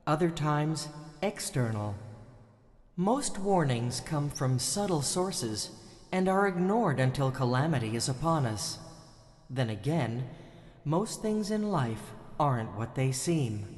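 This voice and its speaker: A narrator introducing a horror radio drama in a slow, ominous manner. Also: medium pitch, darkish tone. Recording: music score behind.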